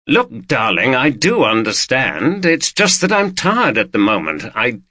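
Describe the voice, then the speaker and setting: A man's voice: mid-range voice, huge pitch swings, a little slow, bright, forceful tone, dry recording, heavily acted. List: a man doing a weary partner, reassuring, firm, dry sound. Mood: soothing. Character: weary, soothing man with a past temper.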